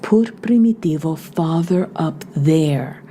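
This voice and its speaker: A terse fighting man, voiced by a woman reader, speaks in a lowered, mellow tone. He gives clipped, steady orders with calm authority. It comes across as audiobook narration with a faint hiss behind. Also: takes it slowly. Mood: instructing.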